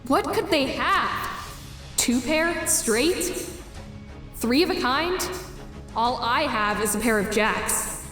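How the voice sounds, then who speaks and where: Woman doing a high-pitched anxious teen girl, competitive, thinking out loud in a web comic dub, roomy sound. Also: animated, full character voice. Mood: pensive, wary. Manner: deliberate, musing to self. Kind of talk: webcomic fan dub acting with inner monologue. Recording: roomy voice with music behind.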